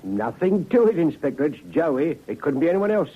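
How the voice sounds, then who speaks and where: A man's voice: fairly high pitch, big pitch swings, fairly quick, breathy edge. An actor, a man, playing an insistent, sure-minded police sergeant with an earnest, clipped delivery in a radio drama. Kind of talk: 1950s radio drama acting. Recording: muffled old radio recording.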